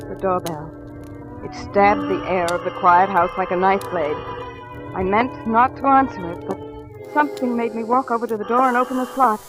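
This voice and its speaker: An actress playing an anxious housewife in a 1940s radio thriller, hesitant and nervously polite, on an old radio recording. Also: female voice, mid-range voice, fairly even intonation, normal speed, over music, natural voice, rough recording. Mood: brooding narration. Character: anxious, uneasy suburban housewife with secret dread.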